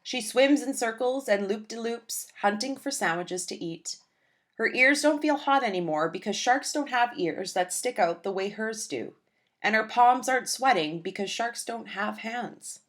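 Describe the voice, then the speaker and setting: A woman reading a children's picture book aloud in a gentle, expressive storytelling manner. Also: on the high side, unhurried.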